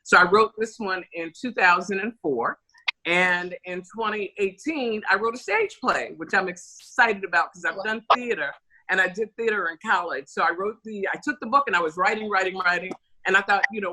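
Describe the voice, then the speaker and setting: Bright-voiced woman radio host. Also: on the low side, rough recording. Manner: chatty, upbeat, rambling. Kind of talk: casual video call chat. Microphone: video call.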